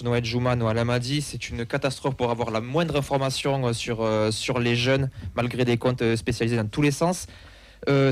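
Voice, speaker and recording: A man at an ordinary middle pitch runs through football news at a steady clip. He reads results like a list and drops dry, sarcastic asides. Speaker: football show pundit. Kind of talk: radio sports talk.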